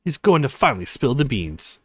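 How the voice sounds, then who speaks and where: A man's voice: medium pitch, very animated, brisk, a bit bright, breathy edge, no room sound. A man voicing an earnest, worried young male college student in a visual novel.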